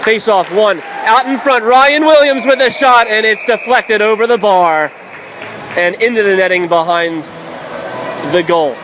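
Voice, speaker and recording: A man doing live radio play-by-play of ice hockey in clipped, vivid phrases from a noisy arena. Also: sits high. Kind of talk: live sports commentary. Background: clear arena background noise.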